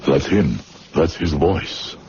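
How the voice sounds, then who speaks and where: A man's voice: rumbling low, animated, slow pace, darkish tone, slight background noise, full character voice. An actor playing a bitter, despairing middle-aged former doctor in a radio drama, brooding, sardonic and literate in his speech. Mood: menacing, accusing. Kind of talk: radio drama acting with first-person narration. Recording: dull, muffled radio drama recording.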